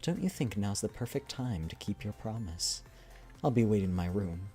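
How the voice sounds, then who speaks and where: Man reading as a hushed, low, coy doll. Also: animated, faint music behind, close to an everyday voice. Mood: coy, woozy. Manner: slow, hushed, suggestive.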